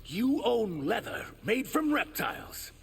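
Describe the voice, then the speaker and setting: A man's voice: sits high, huge pitch swings, takes it slowly, gravelly, husky, heavily acted. A man voicing an earnest young shapeshifting reptilian warrior in human form for a video game. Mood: wry, sincere. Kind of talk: video game character dialogue.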